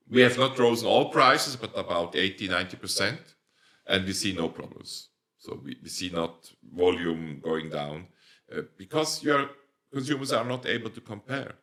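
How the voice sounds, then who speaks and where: Deep-voiced Swiss German man, halting English. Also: lively intonation, sharp tone. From Switzerland. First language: Swiss German dialect. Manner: halting, searching for words, many restarts. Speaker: nursery company owner. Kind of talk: conversational interview.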